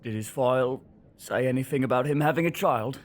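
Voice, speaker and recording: A woman playing a blunt, curious, excitable young detective in an audio drama, cheeky and inquisitive. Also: very deep voice, a little fast.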